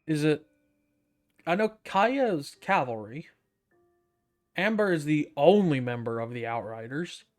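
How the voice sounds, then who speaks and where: A man's voice: fairly high pitch, lively intonation, measured pace, long pauses, soft background music. A man giving casual gameplay commentary as a streamer, with wry, offhand, muttered asides. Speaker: streamer playing a game.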